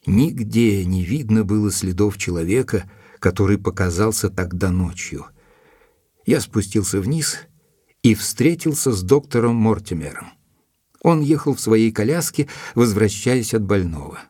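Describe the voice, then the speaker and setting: An actor playing a thoughtful gentleman who narrates his own account in an audio drama, measured, literary and reflective. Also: fairly low pitch, animated, slightly rough, no echo, studio quality. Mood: reflective narration.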